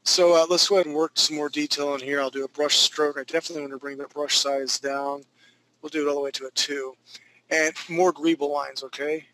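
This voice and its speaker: Male drawing instructor in his thirties, bright, slightly high voice, chatty and joking, faint hiss behind. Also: flat delivery, fairly quick, poor audio. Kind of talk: live drawing class narration.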